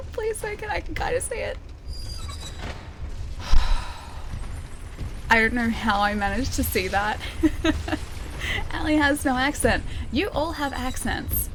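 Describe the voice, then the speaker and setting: A woman's voice: high voice, fairly bright tone. A woman with an Australian accent chatting casually while she plays a video game, giggling and joking at herself. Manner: chatty, giggly, self-mocking. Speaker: streamer. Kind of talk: casual gameplay commentary. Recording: noisy game stream audio.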